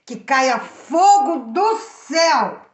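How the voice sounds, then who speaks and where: A woman's voice: high voice, expressive, drawn-out words, exaggerated voice. A Brazilian woman voicing a stern male prophet who solemnly pronounces judgement in a children's Bible story. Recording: echoey room.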